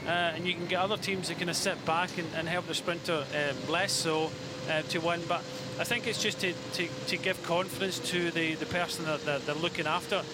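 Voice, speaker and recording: A man giving casual, explanatory live cycling analysis as co-commentator, speaking haltingly with frequent hesitations. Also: fairly even intonation. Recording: loud vehicle and outdoor rumble.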